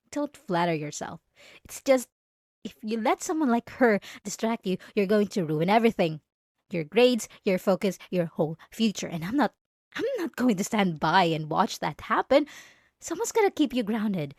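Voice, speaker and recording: A woman's voice: mid-range voice, a little mellow, no room sound, close to an everyday voice. Girl voice actor doing a jealous yandere school bully, smug n sarcastic, talking right at you, super dry sound. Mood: defensive, scolding. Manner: smug, taunting, sarcastic, dramatic emphasis. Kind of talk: scripted ASMR roleplay spoken to the listener.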